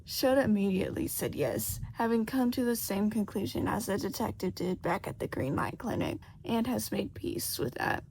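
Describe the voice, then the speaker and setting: A woman narrates written fiction in an amateur audiobook style. Her voice sits at a middle pitch with a noticeable fry in it. The home recording carries faint background noise. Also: lively intonation, moderate pace, hardly any pauses, darkish tone, rough recording. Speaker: fan fiction narrator reading aloud.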